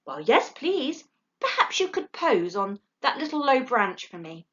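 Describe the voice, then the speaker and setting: Woman playing a gentle sweet young woman, kind, animated pitch, storybook, dull recording. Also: a little slow, exaggerated voice.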